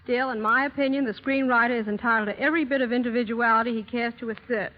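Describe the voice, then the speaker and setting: A woman speaking as an interview guest in a scripted, deliberate 1930s radio style, on a muffled old broadcast. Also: on the high side, steady tone.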